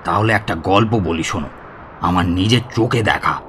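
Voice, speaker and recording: An actor playing a boastful, chatty village tantric healer who tells ghost tales in a Bengali radio drama, with a Bengali accent. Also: male voice, low-pitched, moderate pace, fairly bright tone, slight background noise. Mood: chiding. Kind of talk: Bengali audio drama acting.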